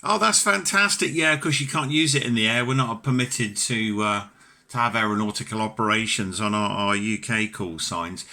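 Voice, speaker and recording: A British man with a notably bright, crisp-edged voice talks over amateur radio. He is chatty and courteous, with open enthusiasm as he carries on a conversational exchange. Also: lowish voice, normal speed, slight background noise. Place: home ham radio station.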